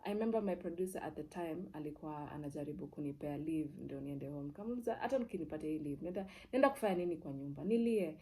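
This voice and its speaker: Low, mellow-voiced young Kenyan woman storyteller. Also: fast talker, hardly any pauses. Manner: expressive, repeats words for emphasis, switches between English and Swahili. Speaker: TV presenter. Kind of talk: personal storytelling, casual and candid.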